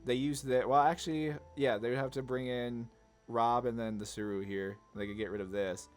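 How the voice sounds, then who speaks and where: A man's voice: medium pitch, flat delivery, normal speed. Casual gaming man talking over music. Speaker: card game video host. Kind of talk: casual gameplay commentary, thinking aloud. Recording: music under the voice.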